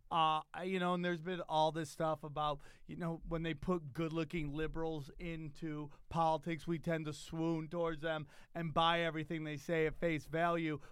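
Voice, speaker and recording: A comedian hosting a casual interview, rambling and joking loosely with some swearing. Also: male voice, on the high side, fairly bright tone, smooth, no room sound. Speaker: podcast host, stand-up comedian.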